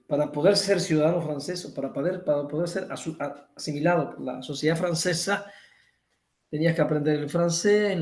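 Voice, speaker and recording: A man's voice: a little high, roomy sound. A man from Cuba with a Cuban accent, giving an emphatic online lecture over a video call, restating his points and correcting himself often. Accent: Cuban Spanish.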